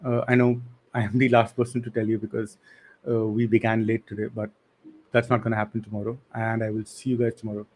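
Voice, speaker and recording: A man's voice: fairly low pitch, little pitch movement, quick pace, a little mellow, slight background noise, low-quality recording. A young man teaching a live online coding class, casual and apologetic, answering the chat with slang.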